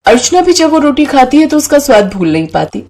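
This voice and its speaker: A woman's voice: sits high, very animated, brisk, a little mellow. A woman storyteller reading scripted narration for a moral bedtime tale.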